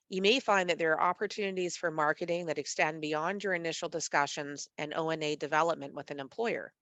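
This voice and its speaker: A woman with a nasal voice from a cold, hosting a webinar in a measured, read-aloud manner over a video call. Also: lowish voice, a bit bright, dry recording. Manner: measured, read-aloud delivery with occasional fillers. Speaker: webinar host, executive director of a literacy network. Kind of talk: scripted webinar presentation.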